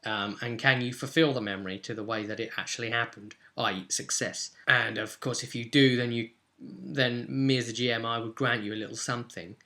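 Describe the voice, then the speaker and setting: A man's voice: mid-range voice, fairly even intonation, fairly quick, sharp tone. A man working as a game master, telling an informal, off-the-cuff recap of a roleplay story with explanatory asides.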